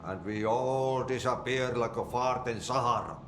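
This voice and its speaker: An actor with a Finnish accent playing an eccentric, cheerful janitor who talks slowly in a sing-song way and mangles idioms. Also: male voice, medium pitch, a bit bright, reverberant, slight background noise, close to an everyday voice. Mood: rambling. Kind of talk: video game cutscene acting.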